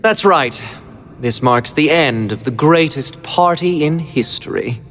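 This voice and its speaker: A flamboyant, sinister mafia executive from a video game, mocking and theatrical. The lines are drawn out slowly and swoop widely in pitch, with music playing underneath. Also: male voice, lively intonation, fairly bright tone. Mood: rapturous mourning. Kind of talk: dramatic English game dub acting.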